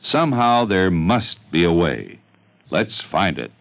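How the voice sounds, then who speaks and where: A man with an American accent reading scripted historical narration in a formal, measured announcer's manner. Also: sits low, very animated, gravelly, fairly bright tone. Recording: dull vintage radio recording.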